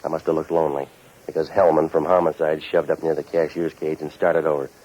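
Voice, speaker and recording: A hard-boiled American private eye in a vintage radio drama. The voice sits low and delivers sardonic wisecracks in a terse deadpan. The old recording is muffled and dull. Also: male voice, fairly quick, crowd noise. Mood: wry narration. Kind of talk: 1940s radio noir acting and narration.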